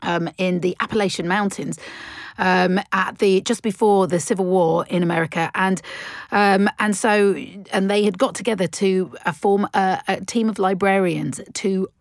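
Female radio presenter, mid-pitched, casual book chat, halting and rambling, very dry recording. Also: steady tone, no echo. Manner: hesitant, rambling, with many false starts.

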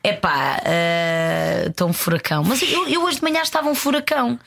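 A woman's voice: mid-range voice, gravelly. A woman with a strong northern Portuguese accent chats as a podcast guest, loose and giggly, drawing out her words playfully. A faint hiss sits under her voice. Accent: northern Portuguese, Santa Maria da Feira. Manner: giggly, relaxed, drawn-out and playful. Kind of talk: casual chat.

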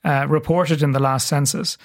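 A man with a Dublin Irish accent, a curator speaking as a radio guest, measured and fact-heavy, with frequent filler sounds. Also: fairly high pitch, little pitch movement. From Ireland. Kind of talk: radio interview, explanatory.

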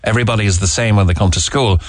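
A man's voice: on the low side, very fast, no room sound, studio quality. A man with an Irish accent hosting a radio phone-in show, asking probing, leading questions and reading out listener texts. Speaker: radio talk show host. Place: radio studio.

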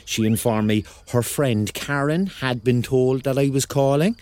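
A man's voice: medium pitch, measured pace, a little mellow, natural voice. An actor playing a hapless, self-mocking thirty-year-old man with a Cork accent, telling his story as a rambling, wry confession in a radio drama. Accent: Cork Irish.

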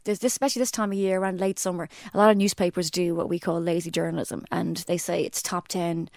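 A woman in her forties with an Irish accent, co-hosting a podcast and telling stories in a lively, animated way. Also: fast, no echo, studio quality. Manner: animated storyteller, jumps into high quoted voices. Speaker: podcast co-host. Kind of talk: casual chat.

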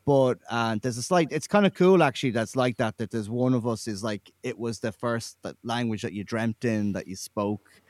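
Man, musician, thoughtful, hesitant, medium pitch, dry sound. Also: normal speed, darkish tone, no echo. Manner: thoughtful, hesitant, many likes. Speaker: musician guest. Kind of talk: casual interview answers.

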